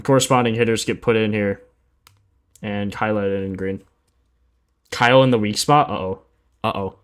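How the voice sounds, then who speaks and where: Low-voiced young man, slangy livestream host. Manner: slangy, informal, thinking aloud. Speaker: sports betting livestream host. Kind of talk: casual livestream chat and commentary.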